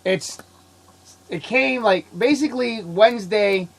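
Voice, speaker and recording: A man hosting a casual podcast, sick and coughing, talking in an excitable, rambling way and laughing often. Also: high voice, unhurried. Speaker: podcast host. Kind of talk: casual chat.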